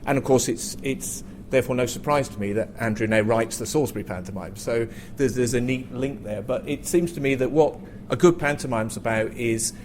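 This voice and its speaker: A man's voice: mid-range voice, a little mellow. A man giving a considered, unscripted answer as an author on a discussion panel. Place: theatre, live panel. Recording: noisy live room recording.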